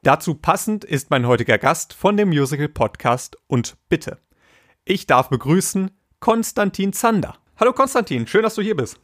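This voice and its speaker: A man's voice: fairly high pitch, animated, moderate pace, a bit bright. A young man hosting a podcast interview in a casual, chatty, joking way, with frequent filler sounds. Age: young adult.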